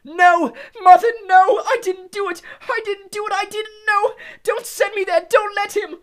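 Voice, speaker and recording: A man's voice: very high-pitched, sing-song, slow, voice pushed up, full character voice. A man voicing a terrified young man in chains, shrieking and pleading. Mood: desperate.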